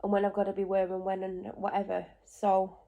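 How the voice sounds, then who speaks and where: A woman's voice: medium pitch, completely flat delivery, a little fast, creaky, mellow, lo-fi sound. A woman with a blocked nose from a cold, rambling at home in a casual vlog with lots of asides and self-corrections. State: stuffy and congested with a cold. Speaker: vlogger.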